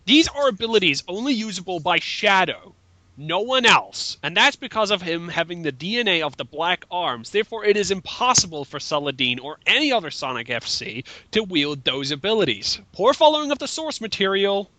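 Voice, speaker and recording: A man's voice: sits high, sing-song, brisk, sharp tone, no echo, poor audio. A man giving casual, mocking fan critique in an opinionated, emphatic way, as part of a group commentary. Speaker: commentator in a group dramatic reading.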